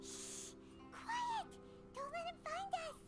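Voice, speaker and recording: A woman voicing a small, childlike, excitable fairy companion in an anime video game, squeaky and exclamatory. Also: slow pace, loud music behind the voice, heavily acted. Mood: urgent. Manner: exclamatory, urgent.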